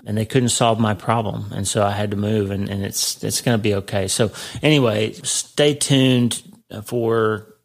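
A man hosting his own podcast in a chatty, casual way, his voice gravelly from sinus trouble. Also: fairly low pitch, steady tone, unhurried. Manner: chatty, warm greeting, frequent restarts. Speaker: podcast host. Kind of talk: casual solo podcast talk.

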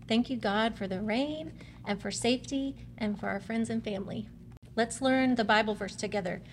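Higher-voiced woman in a reverberant, noisy room. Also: normal speed. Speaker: mother, guest presenter. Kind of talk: explanatory family talk. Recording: reverberant room with background noise.

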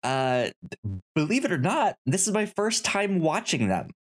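A podcast co-host chatting casually about reviews, often repeating and restarting words in a halting way. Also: medium pitch, very animated.

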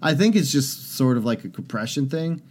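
A man's voice: medium pitch, big pitch swings, moderate pace, a little mellow. Wry, grumbling young male co-host. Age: young adult. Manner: wry, self-deprecating, grumbling. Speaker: podcast co-host. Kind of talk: casual podcast banter.

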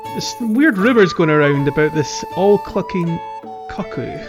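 A man's voice: high voice, sing-song, moderate pace, over music. A man co-hosting a podcast, chatting casually about a video game in a hesitant, chuckly, conversational way. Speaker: podcast co-host.

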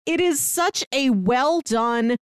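A woman's voice: high voice, takes it slowly, clear, resonant tone, no echo, clean studio sound. A woman co-hosting a podcast, chatting casually about a TV show, often laughing between phrases. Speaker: podcast co-host. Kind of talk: casual chat reviewing a TV show.

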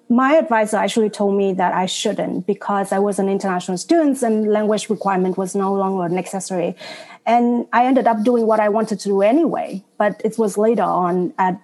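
A woman in her thirties, a university teacher, talking on a panel in a reflective, halting way with frequent restarts. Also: mid-range voice, a little mellow. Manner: hesitant, restarts often, reflective. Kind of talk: casual panel talk.

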